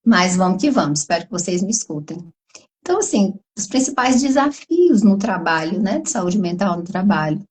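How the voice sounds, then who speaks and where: A woman psychologist with a Brazilian Portuguese accent, hoarse from a cold, explaining at length in a live online conversation and tagging her sentences with questions. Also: medium pitch, very animated, normal speed, a little mellow. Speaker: psychologist guest.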